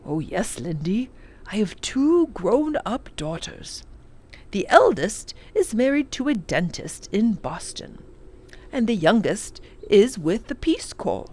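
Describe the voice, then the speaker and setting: A woman's voice: medium pitch, big pitch swings, takes it slowly, darkish tone. A woman voicing a kindly, learned elderly male professor in a children's storybook, exclaiming and savouring long words. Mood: matter-of-fact. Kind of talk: children's storybook character reading.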